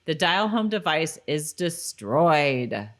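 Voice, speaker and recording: A woman with a low-pitched voice co-hosts a rewatch podcast. She talks casually, tends to be giggly, and moves between chatting and reading recaps. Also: sing-song, slow pace. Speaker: podcast co-host.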